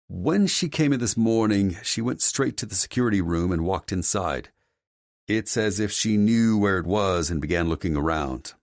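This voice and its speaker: A man's voice: fairly low pitch, expressive, moderate pace, no room sound, clean studio sound, pitched above the performer's own voice. A man voicing an earnest, loyal head of security in an audiobook, deferential but cautioning. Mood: suspicious, reporting. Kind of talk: audiobook character dialogue.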